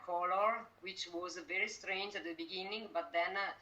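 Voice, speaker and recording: A woman with an Italian accent answering interview questions conversationally, haltingly searching for words as a non-native speaker. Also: lowish voice, fairly even intonation, pushed and bright, dull audio. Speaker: company representative being interviewed.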